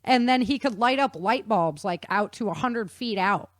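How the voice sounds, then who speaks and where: A woman explaining things in an animated, conversational way with casual slang. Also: mid-range voice, normal speed, no echo, clean studio sound. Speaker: guest author explaining research.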